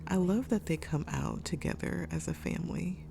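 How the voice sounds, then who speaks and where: A young woman with a raspy voice from a cold, chatting her way through a life-sim game with lots of ums. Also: on the low side, moderate pace, background noise. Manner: chatty, thinking aloud with many ums. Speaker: gaming YouTuber. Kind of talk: casual let's-play commentary. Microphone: desk microphone.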